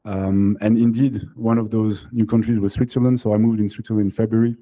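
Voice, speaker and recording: Fast-talking man, very dark French accent. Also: on the low side, level tone, low-quality recording. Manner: quick, restarts and fillers. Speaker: panelist, company co-founder. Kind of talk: panel discussion. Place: event stage.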